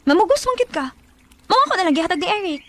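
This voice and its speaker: High, animated, headstrong Cebuano young woman. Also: fast talker, slight background noise. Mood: exasperated. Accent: Cebuano Filipino. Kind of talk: Bisaya radio drama acting.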